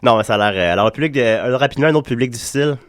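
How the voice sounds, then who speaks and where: A man with a Quebec French accent hosting a casual radio chat, a bit sick with the flu, quick and laughing often. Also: medium pitch. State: has the flu, struggling to talk. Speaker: radio show host.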